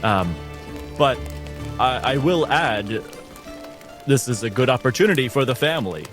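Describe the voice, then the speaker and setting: A man voicing a deferential but wry lumber worker in a tabletop game, with a halting, pointed manner. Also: mid-range voice, animated, over music, pitched above the performer's own voice, natural voice. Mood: polite, wry.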